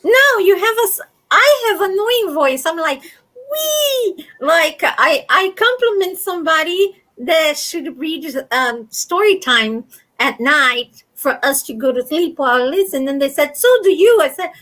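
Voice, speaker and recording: A woman hosting a casual livestream chat, talking loudly and animatedly and laughing often. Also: very high voice, animated, a little slow. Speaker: livestream host.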